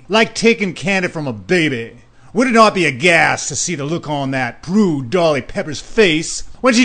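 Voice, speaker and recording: Man acting a brash, high-pitched, swooping crime boss. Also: fairly bright tone. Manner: brash, snapping insults at underling. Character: bossy, greedy, cocky crime boss robbing a bank. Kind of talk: comic radio play acting.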